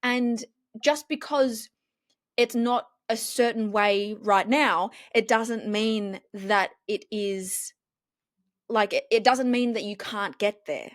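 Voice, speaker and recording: A woman, a TV journalist and confidence coach, talking in a casual interview with an expressive, strong reporter-style delivery. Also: fairly high pitch, takes it slowly, no echo, clean studio sound.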